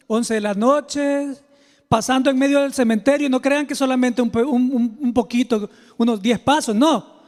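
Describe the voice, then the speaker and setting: High-voiced Salvadoran man preaching in a reverberant space. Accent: Salvadoran Spanish. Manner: informal, confiding, addresses listeners directly. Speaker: pastor, preacher. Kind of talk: conversational sermon with personal storytelling. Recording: long hall reverb.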